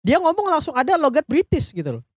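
A man's voice: very high voice, wildly swooping pitch, fast, no echo. Man, Jakarta accent, podcast host, casual movie chat, swinging intonation, informal and joking. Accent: Indonesian, Jakarta colloquial.

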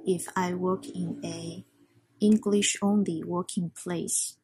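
Halting, husky-voiced Taiwanese-accented woman. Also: on the low side, fairly even intonation, a little mellow, poor audio. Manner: halting, searching for words, self-correcting. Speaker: language learner sharing her experience. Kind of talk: personal monologue, prepared talk delivered informally.

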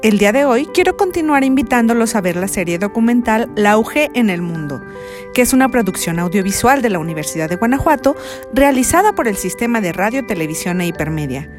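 A woman radio presenter with a Mexican accent reads a scripted introduction in a measured, formal way. Her voice sits slightly high. Clear background music runs under her words. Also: very animated. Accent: Mexican Spanish. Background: music bed.

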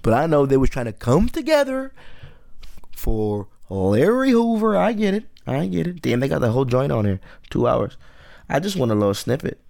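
A man's voice: mid-range voice, sing-song, normal speed, gravelly, darkish tone, no room sound, studio quality. A man in his late twenties hosting a solo podcast, rambling through loose, profane casual commentary. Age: 20s. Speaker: podcast host.

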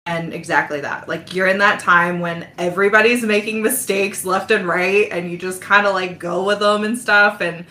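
A woman's voice: lowish voice, fairly even intonation, moderate pace, hardly any pauses, sharp tone. Brief Earnest woman in an echoey room. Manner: agreeable, earnest, hesitant restarts. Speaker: podcast guest. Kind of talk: casual chat.

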